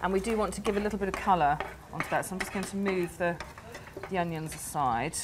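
A middle-aged woman giving a cooking demonstration in a garden centre greenhouse, warm and enthusiastic as she explains each step, on a lapel mic. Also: on the low side, fast talker, background noise. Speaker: cook, guest chef.

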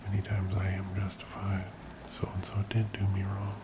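A man speaking very softly as an ASMR host, slow and soothing, often slipping into a whisper in a creaky murmur. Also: low-pitched, completely flat delivery, very noisy. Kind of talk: soft-spoken ASMR personal attention, slow guided relaxation.

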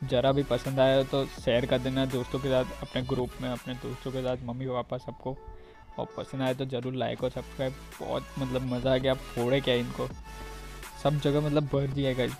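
An Indian man commentates on his own gameplay in an informal, chatty style. His delivery stays very even, with hardly any rise or fall, at an average male pitch. Light music plays behind him as he addresses his viewers. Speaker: gaming video creator. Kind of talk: casual video game commentary.

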